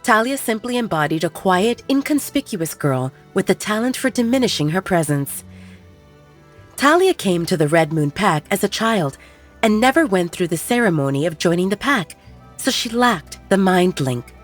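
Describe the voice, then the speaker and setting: A woman narrating a scripted fiction story in a measured, expressive storytelling manner. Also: medium pitch, soft background music. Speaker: audiobook narrator.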